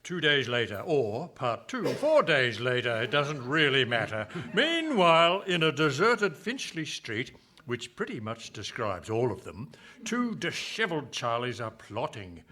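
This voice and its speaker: A man's voice: medium pitch, sing-song, a little slow, bright, forceful tone. A man playing a droll narrator, announcing a comic radio play in an arch manner. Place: live performance on stage in a hall.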